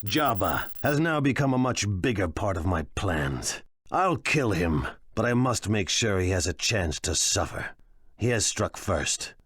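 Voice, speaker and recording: Low-voiced, smug crime lord. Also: male voice, sing-song, measured pace, a bit bright, husky, dry recording, clean studio sound, heavily acted. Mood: vengeful. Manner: wry, self-assured, theatrical. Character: smug, scheming, ambitious male crime lord. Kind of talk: video game voice acting.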